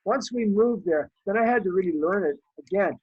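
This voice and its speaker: A middle-aged man from Canada, German his first language, talks through his memories in a reflective, anecdotal way, often restarting his sentences. His voice sits high and a little husky. The sound is slightly muffled and very dry. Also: animated, a little fast, no echo. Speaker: guest. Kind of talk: conversational interview storytelling.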